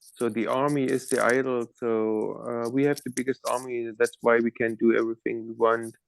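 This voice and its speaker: A man's voice: mid-range voice, flat delivery, normal speed, vocal fry, rough recording. A man in a study group, speaking hesitantly and searching for his words. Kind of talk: casual group discussion.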